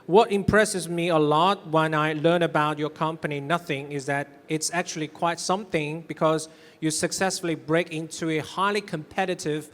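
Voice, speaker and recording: A man's voice: on the high side, steady tone, moderate pace, a bit bright. A man moderating an onstage interview, asking questions in a conversational way. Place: stage at a tech expo. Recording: reverberant hall sound.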